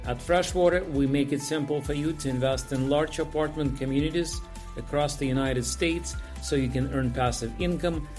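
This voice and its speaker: A man's voice: fairly high pitch, normal speed, darkish tone, soft background music. A man hosting an interview podcast, reading a polished intro and then chatting with his guest, with many fillers and restarts. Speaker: podcast host.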